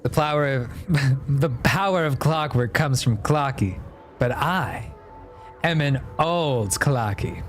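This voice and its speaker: A man livestreaming a game, chatting casually and reading dialogue aloud in a playful, expressive, joking way. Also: mid-range voice, takes it slowly, soft background music.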